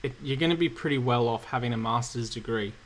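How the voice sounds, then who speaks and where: A man, slightly croaky from illness, hosting a relaxed live-stream Q&A and answering chat questions with many fillers. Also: mid-range voice, fast, slight background noise, poor audio. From Australia. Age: 30s. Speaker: cybersecurity streamer host.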